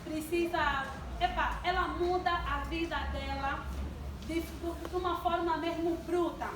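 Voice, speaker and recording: High-voiced young woman preaching in an echoey hall. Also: steady tone, a little slow. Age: 20s. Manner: asks the audience questions and draws responses. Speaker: church youth speaker. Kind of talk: sermon-style talk to a congregation. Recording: distant mic in an echoey hall with background noise.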